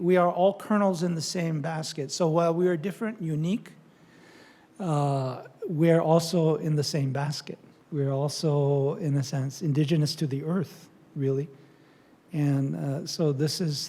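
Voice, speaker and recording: An older man giving a public talk and answering audience questions, speaking haltingly with many fillers and restarts. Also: a little high, mellow. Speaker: lecturer on Native studies.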